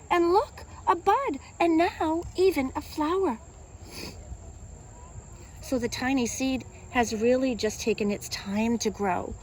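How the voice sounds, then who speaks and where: A woman reading a picture book aloud to young children as a kindergarten teacher, in an expressive, teacherly way. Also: on the high side, a little hiss or hum, rough recording. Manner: expressive, simple teacherly delivery with questions to young listeners.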